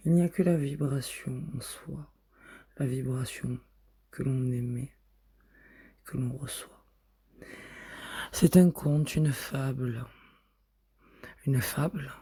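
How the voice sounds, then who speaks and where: A man reciting spoken-word poetry in short, measured phrases, with a husky, breathy voice that often drops to a whisper. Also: medium pitch, darkish tone.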